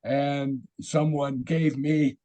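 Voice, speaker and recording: Raspy-voiced man, slow and halting. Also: medium pitch, little pitch movement, warm tone. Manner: halting, many fillers and restarts. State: hoarse from illness. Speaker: presenter. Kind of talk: webinar presentation. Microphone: video call.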